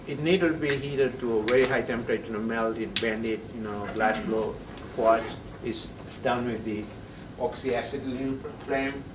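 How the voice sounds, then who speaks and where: A male chemistry professor, lecturing a class in a halting way, with many pauses and frequent checks of "right?". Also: mid-range voice, fairly bright tone, distant mic, a little hiss or hum. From Sri Lanka. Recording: echoey classroom heard from afar.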